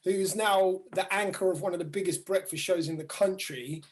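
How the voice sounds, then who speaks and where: A man's voice: sits high, moderate pace. A man from the UK, a DJ co-hosting a podcast, asking rambling, jokey questions. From United Kingdom. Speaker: podcast co-host, DJ. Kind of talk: casual chat.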